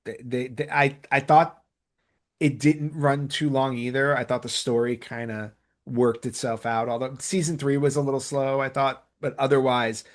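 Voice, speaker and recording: A man's voice: medium pitch, little pitch movement, moderate pace. A man talking casually as a podcast host, enthusiastic and emphatic, often repeating phrases to stress his point.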